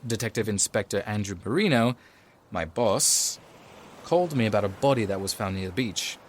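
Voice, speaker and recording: An actor playing a weary, rule-bending detective sergeant in an audio drama, with a dry, understated delivery. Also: male voice, fairly low pitch, animated, moderate pace, a little hiss or hum, close to an everyday voice. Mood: weary, confiding. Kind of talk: audio drama acting with first-person narration.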